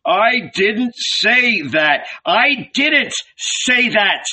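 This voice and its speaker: A man voicing a desperate preacher in a storybook, shouting emphatically as he pleads with a mob. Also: high-pitched, sing-song, very slow, husky, recorded from a distance, voice pushed up, heavily acted. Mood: despairing protest.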